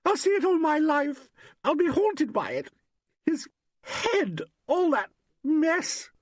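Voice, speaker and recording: A man's voice: extremely high pitch, sing-song, slow, dry recording, studio quality, voice pushed up, exaggerated voice. A man voicing a hysterical, superstitious young woman in a novel, exclaiming breathlessly and dramatically. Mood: hysterical horror.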